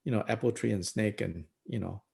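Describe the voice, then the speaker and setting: A middle-aged man from Malaysia, a Buddhist teacher talking through ideas in a thoughtful, unhurried way, with many pauses, "you know"s and frequent chuckles. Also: lowish voice, vocal fry, a little mellow, husky. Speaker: Buddhist teacher leading a book study. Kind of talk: reflective discussion talk.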